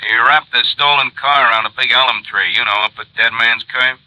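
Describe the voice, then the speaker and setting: An actor playing a matter-of-fact American police desk officer on the telephone in a radio drama, casual and slangy. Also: male voice, medium pitch, fairly even intonation, fairly quick, bright, forceful tone, dry recording, poor audio.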